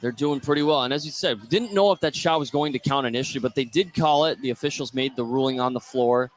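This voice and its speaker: A man's voice: medium pitch, fast talker. Male basketball play-by-play announcer on radio. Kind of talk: live sports radio commentary.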